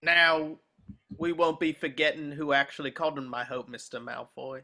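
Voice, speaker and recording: Man playing a bright-voiced surly henchman. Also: fairly high pitch, level tone, normal speed. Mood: surly, wary. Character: surly, scruffy male henchman, rough and resentful. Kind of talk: table read of a film script.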